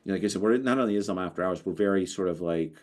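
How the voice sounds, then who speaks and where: American guy with a real low voice, chatty podcast co-host, keeps restarting mid-story. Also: racing. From United States. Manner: animated, storytelling, lots of restarts. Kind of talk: casual conversation.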